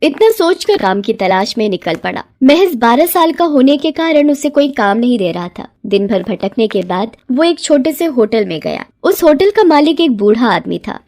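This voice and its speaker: A woman tells a scripted moral story in a fairly high voice. Her pitch moves in lively swings, giving the narration an expressive, dramatic storybook feel. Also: normal speed, hardly any pauses.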